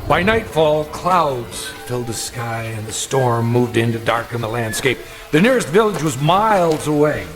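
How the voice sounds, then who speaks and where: Radio drama lead: male actor as haunted older man, mid-pitched, very expressive, precise and grave, music underneath. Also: close to an everyday voice. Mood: reflective, intense. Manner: formal, precise, deliberate. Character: haunted older gentleman recounting his youth, grave, insistent.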